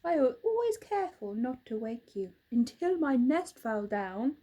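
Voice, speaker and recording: A kind little owl with a soft, friendly way of talking, played by a woman reading a picture book. The voice sits high and unhurried, with a husky, breathy edge. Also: big pitch swings, heavily acted. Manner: gentle, friendly.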